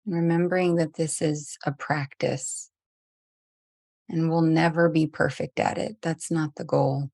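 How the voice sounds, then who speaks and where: A woman guides a meditation in a lowish, softly even voice with little rise and fall. Her phrases are gentle and spaced by long pauses. The sound is very dry and close, without room echo. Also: gravelly. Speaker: meditation teacher.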